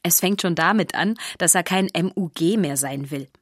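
An actress playing a chatty, down-to-earth castle helper who narrates a children's radio play wryly and matter-of-factly. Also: female voice, fairly low pitch, moderate pace, dry recording, clean studio sound, close to an everyday voice. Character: chatty, down-to-earth woman living and helping in a castle.

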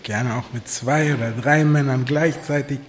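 A man's voice: mid-range voice, moderate pace, slight background noise, lightly acted. An actor playing a crude, gossipy male buddy with slangy, blunt talk in a German radio drama. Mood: leering, excited.

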